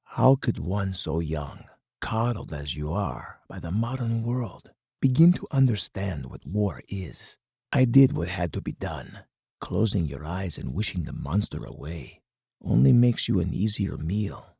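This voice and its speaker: A menacing video game colonel with a very low, gravelly voice. He is a hardened strategist who speaks in stern, deliberate speeches, with a dark, husky tone. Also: big pitch swings, dry recording, clean studio sound, exaggerated voice. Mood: scornful, stern. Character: hard, commanding older male military colonel, menacing strategist. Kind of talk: dramatic scripted game character monologue.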